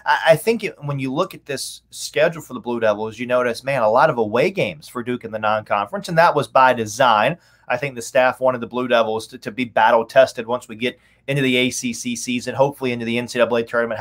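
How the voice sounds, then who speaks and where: Man talking sports on a video call. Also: medium pitch. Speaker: guest, radio play-by-play announcer. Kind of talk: conversational sports commentary. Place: indoor home room on a video call.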